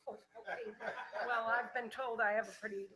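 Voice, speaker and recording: A woman's voice: a little high, steady tone, fairly quick, a crowd in the background. A woman library volunteer speaking at a council meeting, chatty and self-aware with fillers. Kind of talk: public comment at council meeting.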